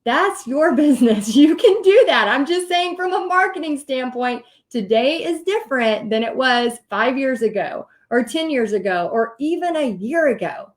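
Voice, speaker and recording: A woman's voice: high voice, expressive, moderate pace, few pauses. A middle-aged woman with a Texas accent giving an informal online coaching talk in a chatty, storytelling manner full of asides. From Texas, United States. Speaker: host and paint party teacher.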